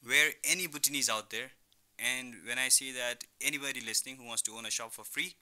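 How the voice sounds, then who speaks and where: A man's voice: mid-range voice, fairly quick, pushed and bright. A young man who grew up in Thailand, answering as an entrepreneur guest in a radio interview, explaining with examples and frequent "you know". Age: young adult. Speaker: entrepreneur guest, online business founder. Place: radio studio.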